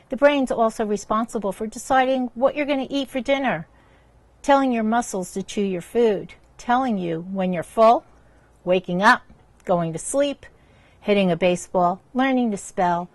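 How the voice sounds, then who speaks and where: A woman's voice: mid-range voice, no echo. Woman reading to young listeners, close dry sound. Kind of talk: scripted educational narration addressed to young listeners.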